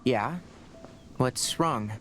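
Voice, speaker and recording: An actor playing a composed young male scholar who speaks in a calm, measured, slightly reserved way. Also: mid-range voice, faint music behind. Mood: explaining. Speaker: scholar and ranger. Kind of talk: video game voice acting.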